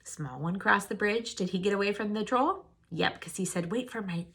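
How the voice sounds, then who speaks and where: A woman teacher reading a storybook aloud and teaching children, expressive, posing questions to her listeners. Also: medium pitch, moderate pace, gravelly. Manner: expressive, asks listeners questions and answers them.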